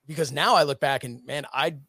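A man's voice: fairly high pitch, brisk, creaky, bright, forceful tone, no echo. Earnest man in his thirties, dry sound. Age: 30s. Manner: earnest, explains at length with frequent "you know". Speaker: guest, streaming network executive. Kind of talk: casual podcast interview.

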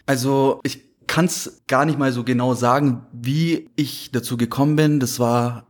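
A man's voice: mid-range voice, steady tone, unhurried. A man in his late twenties, a podcast guest telling his story in a rambling casual way with many filler sounds. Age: 20s. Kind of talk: casual chat.

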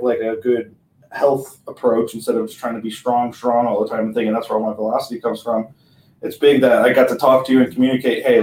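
A man, a guest pitcher, speaking upbeat and agreeable in a casual podcast chat. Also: darkish tone. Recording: echoey room, mic at a distance.

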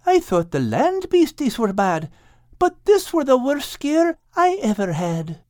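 A man's voice: very high-pitched, huge pitch swings, unhurried, darkish tone, dry recording, studio quality, pitched above the performer's own voice, heavily acted. A man voicing a fearful, grumbling cat in an audiobook. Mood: miserable grumbling. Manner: grumbling, pleading. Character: whiny, fearful, sodden male cat who hates the sea.

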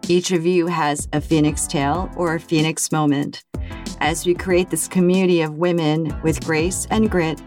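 Low-voiced woman hosting an interview podcast. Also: fairly even intonation, normal speed, a little mellow, faint music behind. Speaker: podcast host. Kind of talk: scripted introduction and interview questions.

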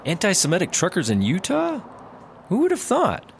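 A man's voice: sits high, huge pitch swings, normal speed, creaky, a little hiss or hum, exaggerated voice. A man playing a hesitant, easily swayed, sheepish squad-car partner in a comic audio drama. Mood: casual, sheepish.

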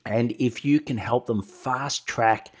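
A man's voice: mid-range voice, slow pace, slightly rough. A middle-aged man with a head cold and a nasal voice, giving conversational advice as a solo podcast host, talking directly to the listener and often checking in with short tag questions. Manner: direct address with frequent tag questions like "right?". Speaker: podcast host and sales coach.

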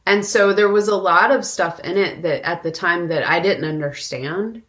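Lower-voiced woman podcaster, casual and conversational. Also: normal speed, a bit bright. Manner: informal, conversational. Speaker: podcast co-host. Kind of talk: casual film discussion.

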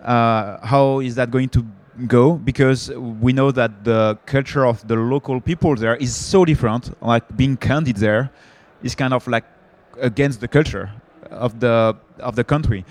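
A man's voice: medium pitch, a little slow, few pauses. French-accented man on a panel. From France. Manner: hesitant, many fillers and restarts. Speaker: panelist, company founder. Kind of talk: conference panel discussion.

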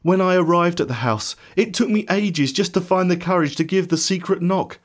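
A man playing a bitter, grief-stricken disciple in a dramatic first-person monologue, emotional and theatrical, swinging from hushed to shouting. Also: high voice, normal speed. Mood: grieving, bitter. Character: anguished, bitter doubting male disciple recounting his grief.

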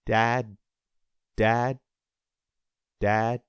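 A man's voice: fairly low pitch, level tone, very slow, clear, resonant tone, no echo, clean studio sound, voice pushed up. A man voicing an eager, loving five-year-old boy with simple, childlike pleading, in a story. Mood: pleading, fading.

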